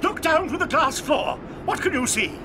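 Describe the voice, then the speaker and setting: An actor playing an eccentric, excitable old male chocolate maker in a full-cast audio drama. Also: extremely high pitch, moderate pace, noisy background. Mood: excited. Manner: excitable, theatrical, lively swings.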